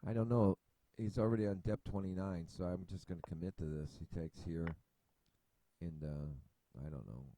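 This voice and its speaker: A man's voice: low voice, fairly even intonation, normal speed, dark tone. A man hosting a casual chess analysis video, thinking aloud at his computer with many fillers and restarts. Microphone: desk mic at a computer.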